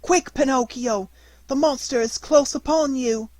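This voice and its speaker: A little female goat from a storybook, voiced by a man, anxious and loving. The voice is pushed very high in urgent, pleading cries on a dry recording. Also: a little slow, darkish tone, dry recording. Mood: frantic pleading.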